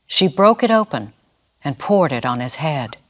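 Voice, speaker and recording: A woman giving a solemn, deliberate dramatic reading of scripture as a voice actor. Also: sits low, very animated.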